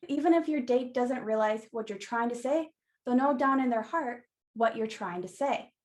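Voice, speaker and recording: A high-pitched actress as a female shopkeeper in a radio play. She is blunt and sassy, with a brisk, wry, no-nonsense way of talking to customers. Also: lively intonation, natural voice. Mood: advising.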